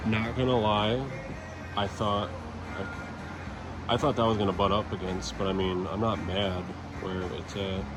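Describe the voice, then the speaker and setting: A man's voice: lowish voice, little pitch movement, measured pace, rough recording. A man giving casual, informal commentary as he tinkers, musing aloud in short fragments. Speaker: DIY truck builder vlogger. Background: music playing in the background.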